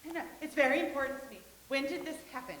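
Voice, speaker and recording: A woman in a staged reading playing an excitable, pleading woman fixated on a famous assassin. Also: high voice, quick pace. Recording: roomy with faint hiss.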